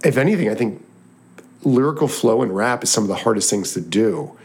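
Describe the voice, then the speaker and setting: Husky low-voiced man, excitable interviewer. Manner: excitable, talks in quick bursts with restarts. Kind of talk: casual chat.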